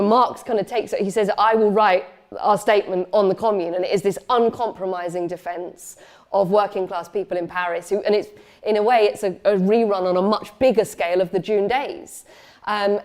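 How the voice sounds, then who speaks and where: A woman's voice: medium pitch, a little mellow. A woman giving a lecture, talking loosely with many fillers and restarts. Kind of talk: memorial lecture with questions.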